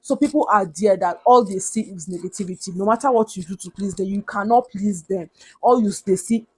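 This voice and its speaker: Loud-voiced young Nigerian woman giving advice. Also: mid-range voice, brisk, slightly rough. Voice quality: loud, big voice. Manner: earnest, emphatic, repeats points for stress. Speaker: vlogger. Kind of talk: motivational advice talk to camera.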